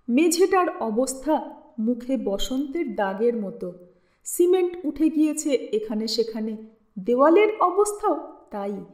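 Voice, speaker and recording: Woman storyteller, high voice, unhurried dramatic narration, roomy echo. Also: a little mellow. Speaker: storyteller reading literature aloud.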